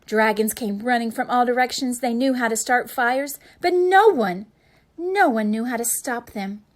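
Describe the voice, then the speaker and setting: A woman reading a children's picture book aloud as a storyteller, with expressive, deliberate delivery for young listeners. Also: on the high side.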